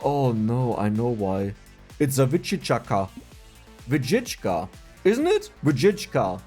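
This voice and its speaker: A man's voice: mid-range voice, very animated, takes it slowly, mellow, soft background music. A young man from Germany with a German accent, chatting casually and laughing at himself while commenting on a video game. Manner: chatty, self-mocking, laughs often. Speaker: gaming YouTuber.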